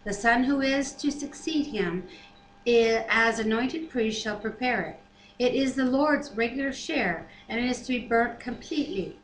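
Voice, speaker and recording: Woman, slightly high, in an echoey room. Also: normal speed. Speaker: Bible reader and co-host. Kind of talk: reading scripture aloud with casual commentary. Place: living room at home, indoors. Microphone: webcam at arm's length. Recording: roomy webcam sound, faint noise.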